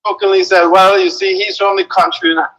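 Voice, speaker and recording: A man's voice: sits high, little pitch movement, heavy background noise. A man from Saint Vincent with a faint, mostly lost Vincentian accent, a guest joking and telling stories over a phone call. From Saint Vincent and the Grenadines. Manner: chatty, joking, halting restarts. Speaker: guest caller. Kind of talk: casual storytelling on a call.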